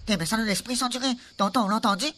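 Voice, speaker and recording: A woman's voice: fairly high pitch, rapid-fire, very noisy, lightly acted. A woman playing a brash, bickering buddy with a Réunion Creole accent in a comic radio serial. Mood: casual. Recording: loud music under the voice.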